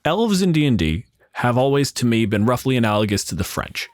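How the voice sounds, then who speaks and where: A man's voice: medium pitch, very animated, fairly quick, no room sound. A man hosting a podcast in casual chat with dry, wry humour. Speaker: podcast host.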